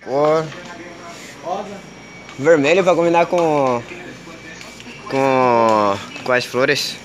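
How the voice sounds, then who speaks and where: A young Brazilian man filming a casual vlog on a handheld camera, slangy and excitable as he teases his friends. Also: medium pitch, very slow, rough recording. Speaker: vlogger. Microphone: handheld camera mic. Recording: loud party music behind.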